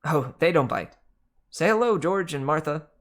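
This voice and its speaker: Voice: medium pitch, lively intonation, moderate pace, voice pushed up, lightly acted. A reader voicing a mischievous, worldly male god, chatty and teasing, in a storybook reading. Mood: breezy, chatty.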